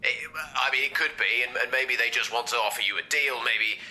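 Cautious lawyer, very sharp-edged voice. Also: male voice, mid-range voice, soft background music. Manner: hesitant, measured. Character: cautious, hedging male legal adviser. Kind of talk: naturalistic drama acting.

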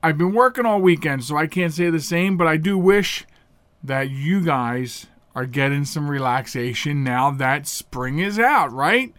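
A high-pitched man hosts a radio talk show by himself, his voice slightly nasal and clogged up from pollen. He rambles casually, trips over his words and corrects himself. The sound is close and dry, with no room echo. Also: lively intonation, measured pace, a bit bright. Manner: rambling, chatty, with self-corrections and filler words. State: a little congested, nasal from pollen. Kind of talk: casual solo radio monologue.

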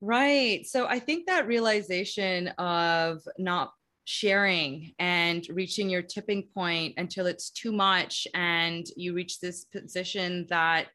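A woman's voice: fairly low pitch, takes it slowly, pushed and bright. A woman hosting a career podcast who reads a scripted introduction and asks affirming interview questions. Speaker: podcast host.

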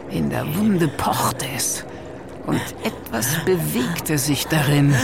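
Slow, creaky male horror narrator. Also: fairly high pitch, background noise. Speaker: narrator of horror audio drama. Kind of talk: scripted dramatic narration.

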